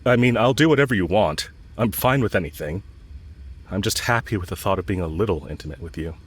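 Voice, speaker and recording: A man playing a lovestruck villain in an intimate audio roleplay, speaking with earnest, halting hesitation and yearning. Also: low-pitched, lively intonation, breathy edge. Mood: earnest, hopeful. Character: lovestruck male villain confessing to his enemy, yearning.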